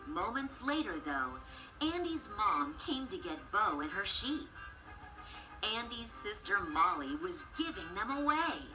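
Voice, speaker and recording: Expressive woman storyteller over music. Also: mid-range voice, low-quality recording. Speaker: story narrator. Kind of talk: expressive scripted children's read-aloud.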